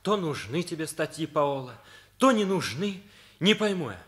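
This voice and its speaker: An actor playing a blunt, teasing teenage schoolboy in a Soviet radio play, with a dry, needling way of talking. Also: male voice, fairly high pitch, very animated, moderate pace, a bit bright.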